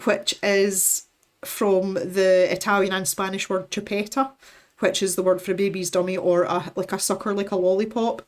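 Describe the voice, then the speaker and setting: A Scottish woman chatting casually as a livestream host, opinionated and given to lively storytelling. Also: medium pitch, moderate pace. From west coast of Scotland. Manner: chatty, opinionated, animated storytelling with acted-out quotes. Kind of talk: casual chat with live viewers.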